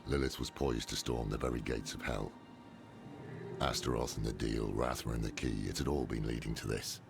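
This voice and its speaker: An actor playing a gruff, world-weary old demon-hunting scholar, grave and terse, in a dark fantasy video game. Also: male voice, steady tone, moderate pace, over music. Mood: weary, brooding. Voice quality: very deep, gravelly.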